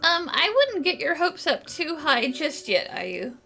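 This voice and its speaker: A woman's voice: high voice, big pitch swings, a little slow, creaky, sharp tone, faint music behind. A woman giving casual let's play commentary in a blunt, wry way. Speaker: gaming streamer.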